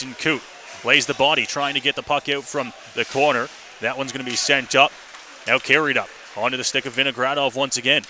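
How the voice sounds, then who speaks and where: A man's voice: medium pitch, bright, forceful tone. A man calling a live hockey game on the radio as the play-by-play announcer. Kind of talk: live radio sports commentary. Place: ice hockey arena. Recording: live rink broadcast with faint noise.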